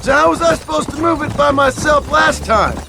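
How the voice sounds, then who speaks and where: An actor playing a stern American cowboy gunslinger in a game, with a Western accent and a dry, threatening, sarcastic manner. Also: male voice, extremely high pitch, lively intonation, a little fast, gravelly, noisy background, full character voice. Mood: irritated, suspicious. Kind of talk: game voice acting.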